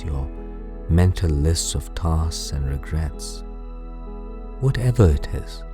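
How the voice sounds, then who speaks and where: A man narrating a bedtime story as guided sleep meditation in a soothing, gentle, unhurried way. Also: sits low.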